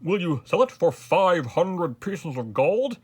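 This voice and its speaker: A storybook narrator voicing a commanding king, loud, emphatic and regal. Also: medium pitch, big pitch swings, a little slow, breathy edge, voice pushed up. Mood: delighted, eager. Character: commanding, stern yet easily delighted storybook king.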